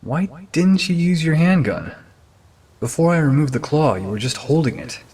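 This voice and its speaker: Man as a husky, weary robot crewmate. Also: a little high, very animated, normal speed, darkish tone. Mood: matter-of-fact. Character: practical, slightly exasperated male robot crewmate. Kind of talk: audio drama acting.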